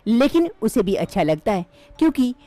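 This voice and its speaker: A woman narrating a cartoon story in a steady, plain storytelling manner. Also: on the high side, moderate pace, no echo, soft background music.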